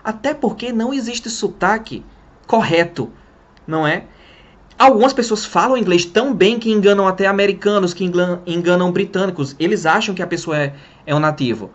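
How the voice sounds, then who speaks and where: A man's voice: sits high, a little fast, lo-fi sound. A young man from Brazil giving a casual English lesson to camera, talking with his hands and stressing his points as he goes. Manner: lively, talks with his hands. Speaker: English teacher. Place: small room in front of a printed wall.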